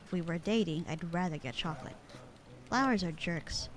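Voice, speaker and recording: A woman playing a bored, sarcastic, slightly flirty secretary in a casual ASMR audio roleplay, with a dry, deadpan, teasing delivery. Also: on the low side, moderate pace, noisy background, close to an everyday voice. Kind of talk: ASMR roleplay acting, casual one-sided conversation.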